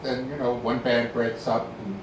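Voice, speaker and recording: A man's voice: mid-range voice, normal speed. Storytelling man in a very echoey room. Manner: animated anecdotal storytelling. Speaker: horn player guest. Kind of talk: casual chat. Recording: very echoey room, distant mic, background noise.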